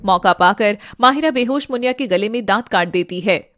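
A woman's voice: mid-range voice, moderate pace, noisy background. A woman narrator reading a scripted story in a dramatic storytelling style.

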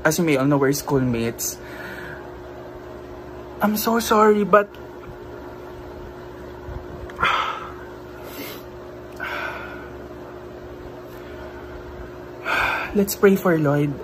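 A young man with a Filipino accent speaks slowly in a fairly high voice, halting and subdued with grief. He is giving an informal, emotional message into a phone held close. A clear background hum runs under his words. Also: expressive, a bit bright, rough recording. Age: young adult. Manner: halting, subdued, heavy pauses. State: grieving, emotional. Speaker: vlogger. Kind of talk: informal personal message to camera. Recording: clear noise like air conditioning or traffic.

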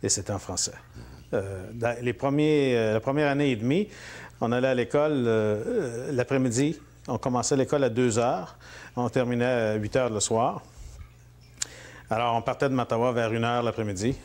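A Franco-Ontarian man in his forties answers questions in his own living room. He talks at an ordinary pitch and keeps stopping to restart his phrases, with plenty of fillers along the way. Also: moderate pace, darkish tone. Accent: Franco-Ontarian French. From Northern Ontario, Canada. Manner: hesitant, many fillers and restarts. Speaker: interview guest, politician. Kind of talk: relaxed interview conversation.

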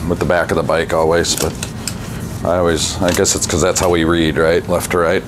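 Low-voiced man chatting while fixing a bike. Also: brisk. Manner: relaxed, chatty, thinks aloud with fillers. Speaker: bike mechanic and channel host. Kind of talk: casual workshop narration. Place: indoor bike workshop. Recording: workshop noise behind the voice.

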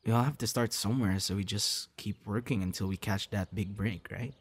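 A man playing a low, gravelly, friendly young guy. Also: fairly quick. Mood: impressed, friendly. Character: friendly, shy young male budding voice actor meeting stranger. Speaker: audio roleplay performer. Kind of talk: ASMR roleplay, casual one-sided conversation.

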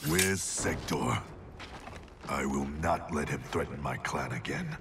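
An actor playing a grim, vengeful ninja clan chief in a video game, blunt and terse in manner. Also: male voice, measured pace, noisy background. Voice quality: very deep.

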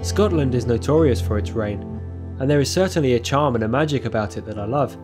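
Calm, measured male documentary narrator. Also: mid-range voice. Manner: calm, measured, reflective delivery. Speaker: filmmaker narrating his own trip. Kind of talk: scripted documentary voice-over narration. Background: music playing under the voice.

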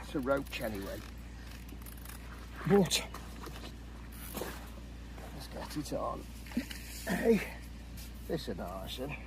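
A middle-aged man from England who vlogs his fishing, chatting to a camera on the bank of a lake outdoors, excitable and chuckling as he calls out his catches. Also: medium pitch, slow, creaky, long pauses, background noise. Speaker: angler vlogger. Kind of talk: casual fishing commentary to camera. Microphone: camera close by on the bank.

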